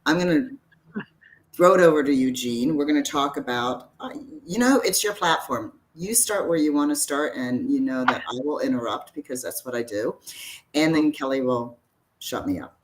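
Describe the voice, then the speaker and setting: A woman's voice: extremely low pitch, animated, moderate pace, slight background noise, poor audio. Bubbly rambling woman co-host. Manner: bubbly, rambling, self-described babbler. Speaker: podcast co-host. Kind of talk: casual chat.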